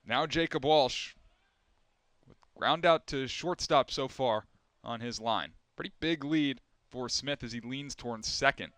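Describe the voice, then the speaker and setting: Young male play-by-play announcer, smooth clear voice, measured delivery. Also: mid-range voice, a bit bright, no echo. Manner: measured, rising for big plays. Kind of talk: baseball play-by-play commentary.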